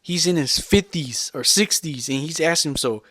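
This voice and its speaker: A man's voice: a little high, normal speed, bright, forceful tone, dry recording. Rambling, profane man with a raised voice. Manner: rambling, repetitive, profane and emphatic. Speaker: vlogger. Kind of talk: unscripted rant to camera.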